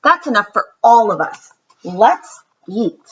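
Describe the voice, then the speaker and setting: A woman's voice: mid-range voice, very animated, takes it slowly, dull audio, a little hiss or hum. A woman voicing an earnest, eager little girl in a children's storybook, with emphatic delivery. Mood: eager, cheerful.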